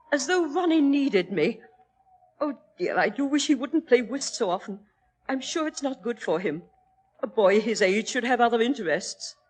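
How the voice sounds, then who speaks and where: A high, swooping voice for an anxious middle-aged English aristocrat. She is a fussy, fluttery mother in an old radio drama, easily frightened and highly dramatic, with a British accent. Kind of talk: old-time radio acting.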